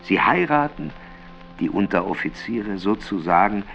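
An actor playing a melancholic, bitterly ironic writer who recites his works in a theatrical, deliberate way in a radio play. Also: on the low side, expressive, creaky, a bit bright, highs cut off, soft background music, exaggerated voice. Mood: wry, storytelling. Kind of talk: literary radio play reading.

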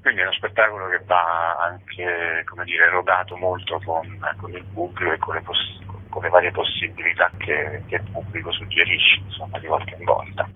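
A man's voice: deep voice, flat delivery, normal speed, hardly any pauses, slightly rough. A man explaining informally and a little hesitantly as a radio interview guest. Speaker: interview guest, actor and writer. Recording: narrow phone line on radio.

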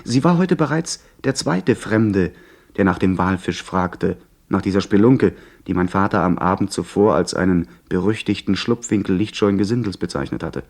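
Courteous young adventurer for radio drama, lowish register, polite and a little hesitant, telling his seafaring tale. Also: normal speed, darkish tone. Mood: earnest narration. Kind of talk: 1970s German radio play acting and narration.

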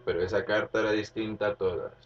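Man, Argentine accent, theatrical storyteller, deliberate delivery, dull distant recording, guitar behind. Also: fairly low pitch, fairly even intonation. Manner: theatrical, deliberate, with repeated phrases. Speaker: storyteller reciting a narrative. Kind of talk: dramatic spoken-word recitation of a rural tale over music. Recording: dull recording, distant, under loud music. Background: plucked guitar music.

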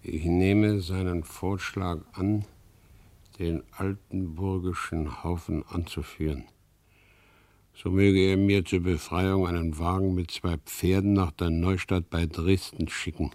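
An actor in a German radio play as a proud, embittered and resigned outlaw horse dealer, speaking gravely and formally. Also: male voice, low-pitched, steady tone, slow, darkish tone. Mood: resolute.

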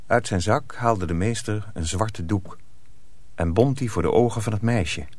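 A man's voice: fairly low pitch, measured pace, a little mellow, dry recording. A man narrating a radio drama as a storyteller, reading a dramatic script.

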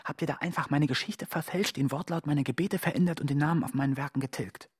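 An actor in a radio play as a fallen creator god, husky and declamatory, pompous and wounded as they plead to be believed in. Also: medium pitch, fast, close to an everyday voice. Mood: wounded, indignant. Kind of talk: theatrical radio play monologue.

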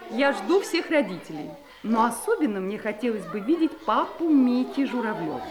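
A woman's voice: fairly high pitch, big pitch swings, normal speed, crowd noise. An actress playing a stern female schoolteacher addressing parents in a children's audio play. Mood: stern, pointed.

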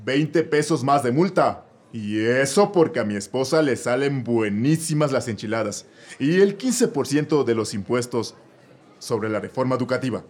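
A man's voice: mid-range voice, expressive, moderate pace, darkish tone, natural voice. A man playing an exasperated middle-aged judge in a comic radio court sketch, with a Mexican Spanish accent. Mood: indignant. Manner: gruff, weary and drawling.

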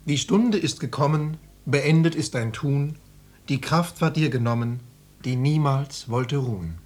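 Actor as sarcastic bratty grown son, radio drama, rough husky voice, smug drawl. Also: male voice, medium pitch, unhurried, darkish tone, close to an everyday voice. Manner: mocking, mock-solemn, drawling. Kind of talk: German radio drama acting.

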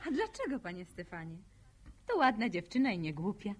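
Lilting, husky young village woman. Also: medium pitch, huge pitch swings, fairly quick. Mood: guarded, wry. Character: bookish, self-possessed young village woman, gently encouraging. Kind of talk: naturalistic radio drama acting.

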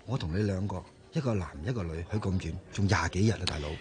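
A man's voice: low-pitched, fast talker. An actor playing an exasperated, bickering but easygoing young man in a radio drama, with a Hong Kong Cantonese accent. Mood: reluctant. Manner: bickering, animated. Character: exasperated, grumbling, easygoing young male buddy.

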